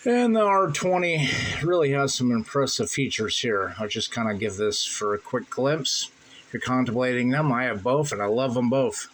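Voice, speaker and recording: A man's voice: medium pitch, moderate pace, fairly bright tone, rough recording. A man hosting a hobby radio channel, giving an informal, plain-spoken product demonstration. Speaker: ham radio channel host demonstrating receivers. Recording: faint background noise.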